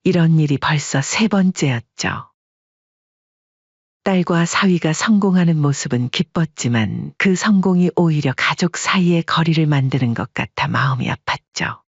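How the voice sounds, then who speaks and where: A steady narrator tells a family drama in a calm, even way. The voice is husky and mid-pitched. The recording is dry and a bit dull. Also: normal speed, dry recording. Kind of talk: scripted storytelling narration.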